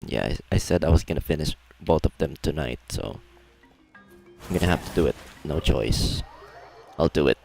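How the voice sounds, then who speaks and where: Casting: male game streamer, low voice, casual off-the-cuff commentary, faint game music behind. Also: moderate pace, creaky, long pauses, a little mellow. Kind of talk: casual live game commentary, reading dialogue aloud.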